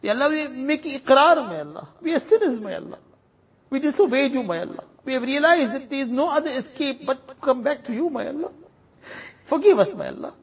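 A man leading a congregation in an impassioned supplication prayer, pleading and closing each plea with a soft trailing refrain. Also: very high-pitched, lively intonation, brisk.

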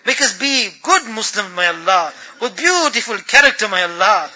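A man delivers an impassioned religious supplication in an extremely high, intensely sharp voice. He sounds tearful and on the verge of breaking, pleading with drawn-out, repeated appeals as a prayer leader would. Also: expressive, low-quality recording.

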